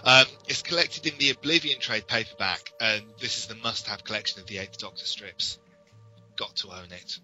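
British male podcaster, very bright and crisp-edged delivery, enthusiastic explainer, music underneath. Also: fairly low pitch, moderate pace, muffled recording. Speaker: podcast co-host. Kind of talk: enthusiastic informal podcast commentary.

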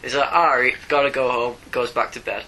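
A man's voice: medium pitch, fairly even intonation, brisk, bright, forceful tone, a little hiss or hum, low-quality recording. A British man chatting casually as a podcast co-host. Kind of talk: casual chat.

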